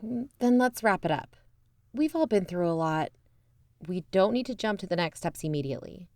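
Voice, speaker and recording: A woman's voice: mid-range voice, expressive, moderate pace, darkish tone, dry recording, clean studio sound, close to an everyday voice. A woman playing a level-headed, reassuring podcast co-host in a conversational audio drama. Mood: calm, reassuring. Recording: music under the voice.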